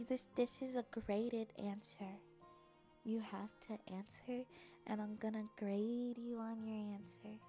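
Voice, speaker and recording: High-voiced playful young woman. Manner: playful, silly, expressive, reacting to chat. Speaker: livestreamer chatting with viewers. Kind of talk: casual livestream chat. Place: apartment.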